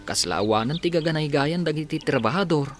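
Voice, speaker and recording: A man's voice: fairly high pitch, animated, brisk, music in the background, lightly acted. An actor playing an earnest, sympathetic farm man in mourning in an Ilocano radio drama, with an Ilocano accent. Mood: sympathetic, sombre.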